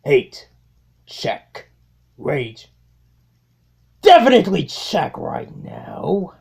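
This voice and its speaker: Man playing Megatron, a theatrical, slow-spoken robot warlord. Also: fairly high pitch, wildly swooping pitch, gravelly, husky, exaggerated voice. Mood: dry, sardonic. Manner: theatrical, halting monologue with muttered sardonic asides. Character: tyrannical male robot warlord, vengeful, grandiose, sardonic. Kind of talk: amateur comic dub acting.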